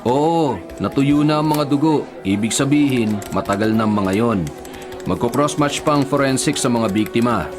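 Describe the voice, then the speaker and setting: A man playing a stern, blunt police investigator with a Filipino accent, speaking deliberately in a radio drama. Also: medium pitch, natural voice. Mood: grave, blunt. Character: stern, matter-of-fact adult male police investigator. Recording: dramatic music behind. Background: music.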